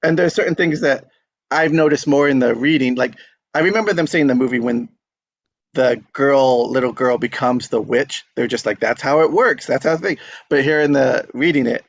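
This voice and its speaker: A man's voice: mid-range voice, moderate pace, muffled recording. A man hosting a table read, talking in an informal, rambling way as he chats with the group. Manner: informal, rambling, quotes own thoughts. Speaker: host of a live script table read. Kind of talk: casual group chat.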